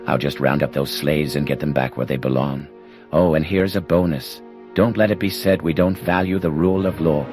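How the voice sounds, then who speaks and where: A brusque, officious slave catcher from a video game. His extremely deep voice is flat and curt, with game music behind it. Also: moderate pace. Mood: brusque, condescending. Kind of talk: video game character dialogue.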